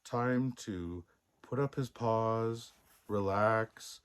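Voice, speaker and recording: A man narrating a children's story as a storybook reader, expressive, playful and theatrical, relishing the sound words. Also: lowish voice, extremely slow, smooth. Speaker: storybook reader in a Santa persona.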